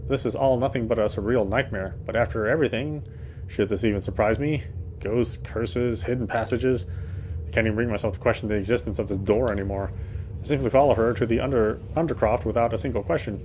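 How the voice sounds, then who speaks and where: A man's voice: fairly low pitch, a little fast, darkish tone. A man playing a visual novel, reading its narration aloud in a dramatic storytelling style. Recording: faint background noise.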